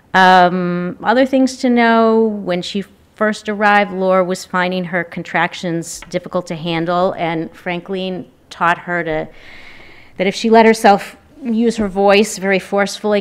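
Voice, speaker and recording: A woman's voice: low voice, gravelly. A middle-aged woman, an author informally introducing her own reading to an audience from a lectern. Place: wood-panelled lecture room, at a lectern. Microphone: stand microphones at a lectern.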